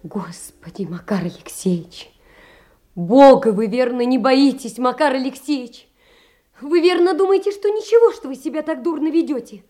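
A woman's voice: high-pitched, animated, moderate pace, warm tone. An actress playing a poor young woman, anxious and tenderly caring, pleading earnestly and often sinking toward a whisper in an audio drama. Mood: distressed reproach. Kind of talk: theatrical reading of letters in a stage radio play.

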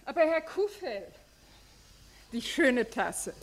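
Higher-voiced, kindly woman, noisy recording. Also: sing-song, slow pace, exaggerated voice. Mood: concerned, chiding. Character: kindly, practical female lodger, gently scolding. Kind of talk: 1950s German radio drama acting. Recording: clearly noisy old radio recording.

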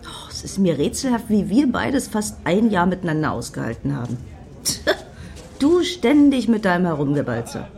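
A sharp-tongued ex-girlfriend in a satirical radio play. Her lowish female voice is animated and mocking, teasing those around her. Music plays faintly behind. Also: normal speed, vocal fry. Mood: exasperated. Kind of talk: German radio play dialogue.

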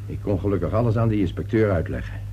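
An actor playing an irritable, defensive middle-aged businessman father in a radio play, with a gruff, impatient, blustering manner. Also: low voice, fast, highs cut off, natural voice. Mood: defensive, irritated. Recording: noisy old radio play recording.

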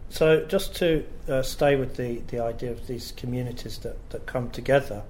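A man's voice: mid-range voice, normal speed, a little mellow. A man interviewing a guest on the radio, supportive, often prompting and finishing her sentences. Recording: faint background noise.

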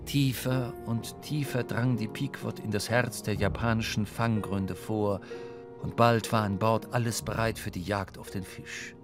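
A man's voice: on the low side, steady tone, a little slow. Male radio drama narrator over faint music.

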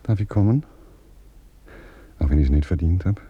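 A man playing a tender, husky baron. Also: normal speed, dull audio. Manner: intimate, soft-spoken, lively pitch. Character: tender, wondering aristocratic baron. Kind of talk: radio play acting.

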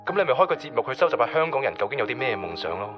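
An actor plays an eager, impulsive young guy from a radio drama. He talks fast and insistently in Hong Kong Cantonese, with music clearly behind him. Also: medium pitch, sing-song, dull audio, close to an everyday voice. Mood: excited. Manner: enthusiastic, insistent. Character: eager, impulsive young man pitching dreams to his friend. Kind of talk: radio drama phone dialogue.

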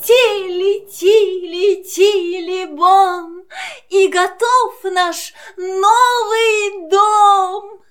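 A little storybook kitten, friendly and childlike. The pitch is extremely high and each word is drawn out very slowly, with faint music behind. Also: female voice, steady tone, fairly bright tone, full character voice. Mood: joyful. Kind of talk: children's musical audio play.

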